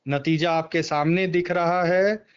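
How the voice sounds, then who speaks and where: A high-voiced man speaks slowly and clearly on a muffled phone line. He talks politics as an interviewee, stressing his points and repeating words of agreement. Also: fairly even intonation. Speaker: political party member and former election candidate, interviewee. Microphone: phone call. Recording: dull, band-limited phone call recording.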